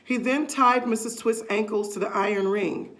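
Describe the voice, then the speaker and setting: A woman's voice: a little high, steady tone, normal speed, a bit bright. A woman reading a children's story aloud expressively, stressing key words and adding casual asides.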